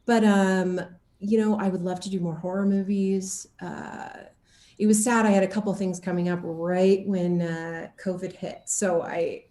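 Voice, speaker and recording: A woman's voice: lowish voice, a little slow. Echoey, chatty woman in an interview. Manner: animated, chatty, laughs often as talking. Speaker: actor being interviewed. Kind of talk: casual interview chat, telling anecdotes.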